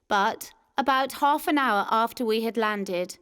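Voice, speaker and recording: A woman's voice: on the high side, a little slow, fairly bright tone, clean tone. A woman reading a scripted first-person wartime memoir as a narrator. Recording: music behind the voice. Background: music.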